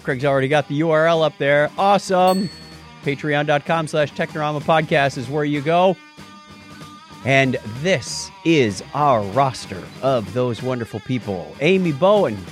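A man's voice: on the high side, moderate pace, faint music behind. A man co-hosting a casual podcast, talking in a jokey, teasing way. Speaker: podcast co-host. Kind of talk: casual podcast chat with patron thank-yous.

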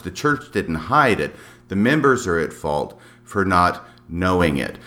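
Man, low pitch, emphatic, deliberate, argumentative conversational commentary, podcast co-host. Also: pushed and bright.

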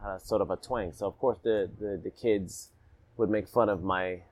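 A man with a fairly low voice, from Alabama and raised partly in Jamaica, telling his story as a podcast guest. He talks in a reflective, animated way. He often repeats himself for emphasis. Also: normal speed. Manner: reflective, animated, rhythmic repetition. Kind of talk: casual storytelling in a podcast interview.

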